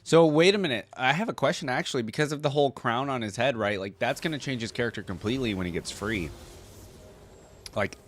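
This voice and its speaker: A man's voice: medium pitch, lively intonation, fast talker. A man giving casual, conversational reaction commentary, musing aloud as he goes. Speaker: reaction video host.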